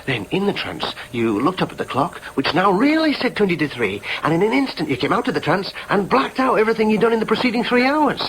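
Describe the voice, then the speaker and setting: An insecure young man arguing his case in a radio play. His voice is fairly high and leaps about expressively, emphatic one moment and anxiously self-questioning the next. The broadcast recording has clear noise behind it. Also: sing-song, quick pace, few pauses, a bit bright, heavily acted, rough recording. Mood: insistent, explaining. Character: argumentative, insecure grown son, theorising then doubting himself. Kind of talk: British radio drama acting with inner monologue.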